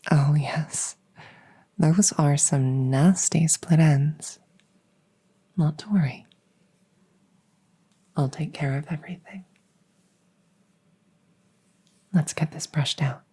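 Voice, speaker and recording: A devoted vampire maid, acted by a woman, speaks in a low, mellow murmur with a breathy softness. Her phrasing is formal and deferential, soothing and gently teasing, in the intimate style of an ASMR roleplay. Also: slow, long pauses, exaggerated voice. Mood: soothing, caring. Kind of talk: ASMR roleplay, intimate personal-attention audio.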